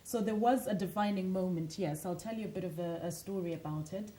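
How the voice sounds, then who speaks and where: A woman from Zimbabwe talks as a guest on a TV interview, earnest and motivational as she tells her own story. Her voice is warm and mellow. A faint hum sits in the studio behind her. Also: on the low side, fairly quick. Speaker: author and lifestyle coach, guest.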